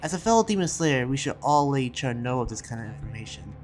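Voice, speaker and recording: A man voicing an earnest demon slayer who reads out his research journal in a reflective, halting way, as a dramatic roleplay monologue. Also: mid-range voice, sing-song, slight background noise, close to an everyday voice. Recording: music behind the voice.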